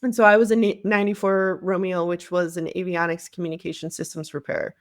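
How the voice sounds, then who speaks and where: A woman's voice: on the low side, flat delivery, a little fast, no room sound, studio quality. An American woman telling her own story as an interview guest, candid and conversational, often acting out what people said to her. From Cleveland area, United States. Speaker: podcast guest, marketing agency CEO.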